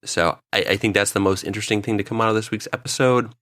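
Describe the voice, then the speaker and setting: A man's voice: lowish voice, fairly even intonation, fast talker, a bit bright, no echo, clean studio sound. A man co-hosting a podcast, chatting casually and jokily while giving his opinions in a review. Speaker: podcast co-host. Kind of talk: casual review chat with anecdotes.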